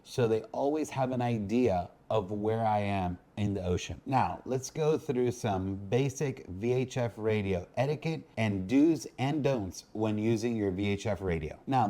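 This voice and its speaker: Guy with a low, dark mellow voice doing a how-to narration, explains stuff step by step. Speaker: boating instructor, video host. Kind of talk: scripted instructional narration.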